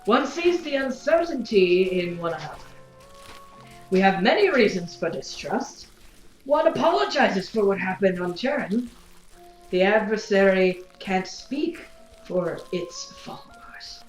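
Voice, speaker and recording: A woman's voice: medium pitch, very animated, measured pace, reverberant, soft background music, low-quality recording. A woman playing a grandiose, effusively polite but unrepentant revolutionary zealot in an audio drama, speaking in formal, stilted phrases. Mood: grave, guarded. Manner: formal, stilted, refers to self as "one".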